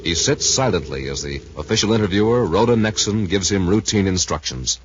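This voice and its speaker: A man narrating a 1950s radio drama as its announcer, with dramatic, deliberate scripted delivery. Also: sits low, expressive, slightly rough. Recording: muffled, noisy 1950s radio recording.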